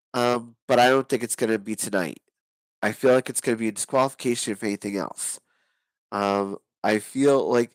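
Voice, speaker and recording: A hoarse man giving casual, rambling wrestling predictions on his own channel, with many restarts and fillers. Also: medium pitch, no room sound. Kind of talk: casual solo predictions talk.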